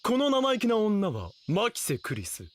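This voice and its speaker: An actor playing a pompous, delusional self-styled mad scientist in a Japanese anime radio drama, with a theatrical, scoffing delivery. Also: male voice, sits high, huge pitch swings, moderate pace. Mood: grandiose.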